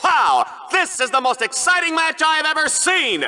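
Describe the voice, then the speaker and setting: An actor playing a smug, excitable TV arena commentator in a video game, with a pompous, theatrical announcer delivery. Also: male voice, very high voice, moderate pace, full character voice. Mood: excited, flustered.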